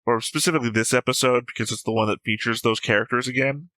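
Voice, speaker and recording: A man's voice: medium pitch, fast, sharp tone, dry recording. A man hosting a reaction show, explaining at length in a casual, musing way.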